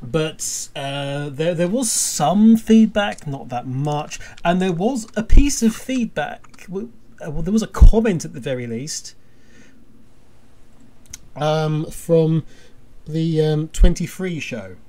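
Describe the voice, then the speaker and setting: A podcast host chatting casually in a halting, rambling way, often restarting sentences, with dry, jokey humour. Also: medium pitch, lively intonation, warm tone. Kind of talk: casual chat.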